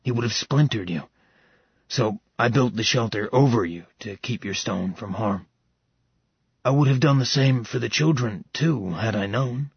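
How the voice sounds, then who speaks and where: A man voicing a brooding, cursed male dragon shifter who speaks tenderly and solemnly in a hushed tone. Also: a little slow, dry recording, lo-fi sound, heavily acted. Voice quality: gravelly, husky low voice.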